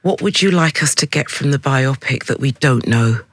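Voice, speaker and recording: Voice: mid-range voice, normal speed, dry recording. A radio interviewer asking questions in an engaged, chatty, conversational way. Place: radio studio.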